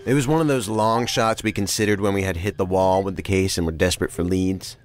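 An actor playing a methodical, matter-of-fact federal agent in an audio drama. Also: male voice, deep voice, steady tone, fairly quick, natural voice.

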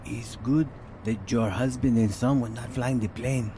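A man's voice: mid-range voice, steady tone, unhurried, lightly acted. An actor playing a friendly Ecuadorian man at the wheel, in an audio drama. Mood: friendly, curious. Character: friendly Ecuadorian man driving newly arrived visitors. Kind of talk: radio drama acting.

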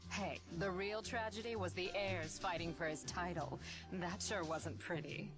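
A woman's voice: fairly low pitch, a little slow, music in the background, close to an everyday voice. An actress playing a chatty, gossiping townswoman in a video game. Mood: gossipy.

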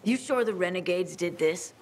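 A woman playing an older herbalist healer in a video game, calm, stern and measured. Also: fairly low pitch, a little hiss or hum, close to an everyday voice. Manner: measured, instructive. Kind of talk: video game dramatic acting.

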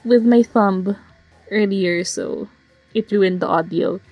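Woman artist, bit hoarse, rambly speedpaint voiceover, dry close sound, faint music. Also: medium pitch, slow, darkish tone, no echo. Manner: rambling, frequent fillers and self-corrections. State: slightly hoarse. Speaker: digital artist narrating a speedpaint. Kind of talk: casual voiceover commentary. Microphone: home voiceover mic.

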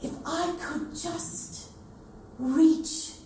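A woman's voice: extremely high pitch, steady tone, drawn-out words, mellow, full character voice. A woman playing a pleading, hesitant young girl in a staged reading, in a distant, very echoey, noisy room.

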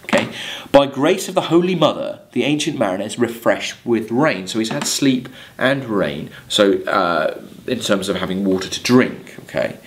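Low-pitched English male literature lecturer. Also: lively intonation, normal speed. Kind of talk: lecture, reading poetry aloud and commenting. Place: small plain room, seated at a desk.

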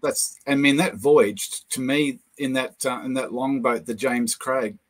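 An older man with a New Zealand accent, a guest lecturer telling anecdotes over a video call, with many pauses and fillers. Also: medium pitch, steady tone. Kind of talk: casual chat, storytelling.

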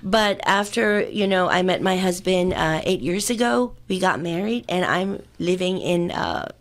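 Upbeat talkative woman in her fifties. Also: on the low side. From Singapore. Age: 50s. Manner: talkative, upbeat, fast-running answers with fillers. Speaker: political candidate, interview guest. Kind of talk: chatty radio interview. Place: small radio studio. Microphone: studio mic with headphones.

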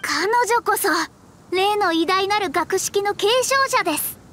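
A woman's voice: extremely high pitch, measured pace, fairly bright tone, slight background noise. A woman playing a proud, confident young female astrologer in an anime game, speaking politely with a boastful, self-assured manner. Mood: insistent. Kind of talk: anime game character dialogue. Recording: background music under clean voice.

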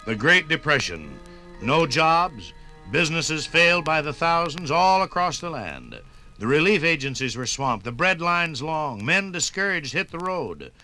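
Dramatic American radio narrator, highish male voice. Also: pushed and bright. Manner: dramatic, measured phrasing. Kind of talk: 1950s radio documentary scripted narration. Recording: 1950s radio broadcast on LP.

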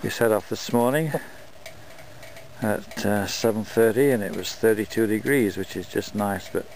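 Low-voiced man chatting outdoors. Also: creaky, a little hiss or hum, poor audio. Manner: relaxed, unhurried talk with fillers. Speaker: dog owner filming a scooter run. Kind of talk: casual vlog narration. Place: open desert outdoors.

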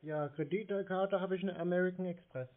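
A man talking casually on the phone as a customer, acting a little slow and naive. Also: sits high, steady tone. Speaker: prank caller posing as customer. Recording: narrow phone-quality sound.

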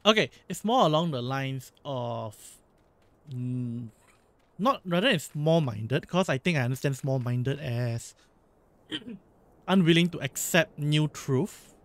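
A man from Singapore with a Singaporean English accent, a vlog host chatting casually and explaining in quick bursts that often stop and restart. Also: fairly high pitch, expressive, fairly bright tone, no echo. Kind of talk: casual chat while streaming.

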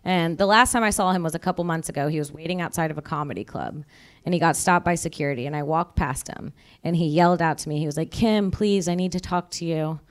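A young woman doing stand-up on a club stage into a handheld mic, dropping dark punchlines in a chatty, deadpan way. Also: deep voice, fast. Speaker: stand-up comedian. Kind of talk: stand-up comedy set. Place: comedy club stage before a live audience. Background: audience laughter.

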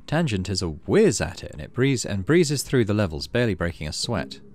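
A man narrating a game playthrough casually, reading text aloud and thinking out loud in an easygoing way. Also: mid-range voice. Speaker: gaming video host.

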